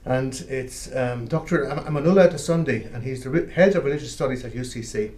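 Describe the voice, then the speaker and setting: Fast-talking male interviewer. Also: medium pitch, darkish tone. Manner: friendly, hesitant with frequent fillers. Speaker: host. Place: small studio.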